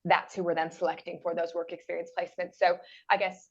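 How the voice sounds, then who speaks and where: A woman's voice: on the low side, little pitch movement, quick pace, breathy edge. A woman panelist answering audience questions in a chatty way with many fillers. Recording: slightly dull conference audio.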